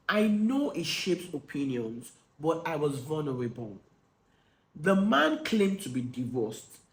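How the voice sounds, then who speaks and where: A man with a croaky cold voice reading the letter of a hurt, guarded woman in her late forties. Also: low-pitched, roomy sound, low-quality recording. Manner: earnest, confessional reading.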